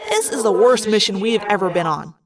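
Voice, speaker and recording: A woman's voice: mid-range voice, animated, brisk, crowd noise, close to an everyday voice. A woman voicing a sarcastic teenage boy in an audiobook, melodramatic, mocking and teasing. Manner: exaggerated, mocking. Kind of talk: audiobook character voice.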